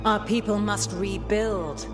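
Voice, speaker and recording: A solemn, conciliatory elven goddess, voiced by a woman in a fantasy game. She speaks in a middle female register over clear, brooding music. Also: mid-range voice, slow pace, close to an everyday voice. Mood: solemn, persuasive. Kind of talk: video game dialogue.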